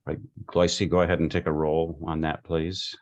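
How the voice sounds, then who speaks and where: A man chairing a local government meeting in a halting, informal, polite way. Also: rumbling low, little pitch movement. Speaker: commission chair.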